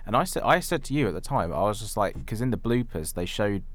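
Low-voiced man chatting about films. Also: sing-song, fast talker, dry recording. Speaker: podcast co-host. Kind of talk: casual film discussion chat.

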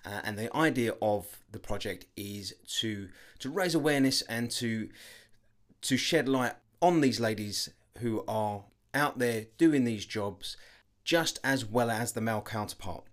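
Male podcast host, mid-pitched, measured intro, small restarts. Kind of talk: scripted episode introduction.

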